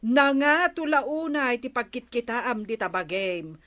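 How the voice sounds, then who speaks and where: A woman's voice: a little high, lively intonation, measured pace, a bit bright. A woman playing a nagging, questioning wife in an Ilocano radio comedy, scolding and probing with an Ilocano accent. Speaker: comedy drama actress. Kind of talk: Philippine radio comedy drama acting.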